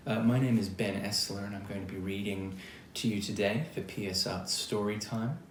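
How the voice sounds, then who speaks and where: An Australian-accented man reading a picture book to children as an actor, expressive and chatty, speaking straight to his young listeners. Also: lowish voice, moderate pace. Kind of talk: children's storytime read-aloud with casual asides. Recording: roomy echo, clear background noise.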